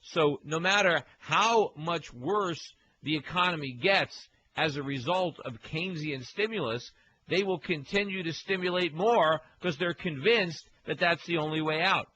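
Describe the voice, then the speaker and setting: A man hosting a call-in radio show from a home studio, talking in a quick, chatty way with many fillers and repeats. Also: on the high side, bright, forceful tone, poor audio. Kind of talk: casual call-in talk radio.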